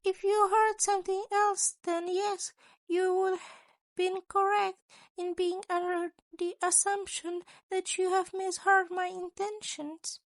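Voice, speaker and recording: Sly little male creature headmaster for an audio story, played by a woman: very high, unhurried, elaborately polite, sadistic. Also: level tone, no room sound, full character voice. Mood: sly warning. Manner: elaborately polite, wordy, theatrical. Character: small sly chimera mammal school principal, male, sadistic, politely menacing.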